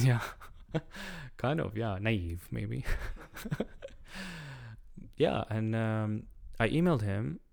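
A young man from Syria, his voice sitting on the lower side and full of gravelly fry. He tells his story in a relaxed chat as a guest, often pausing to find the right English word and breaking into laughter. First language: Arabic. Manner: halting, searching for words, laughs often.

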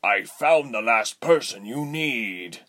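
A man's voice: mid-range voice, lively intonation, slow, sharp tone, pitched above the performer's own voice. Man playing a fawning, needy henchman. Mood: pleading. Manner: obsequious, pleading. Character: fawning, needy male henchman begging for reward.

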